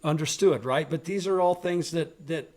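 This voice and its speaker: A man hosting a podcast, talking bluntly and forcefully, swearing freely and raising his voice to make his point. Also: a little high, moderate pace. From rural western United States, raised on a ranch. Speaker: podcast host. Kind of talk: opinionated political talk.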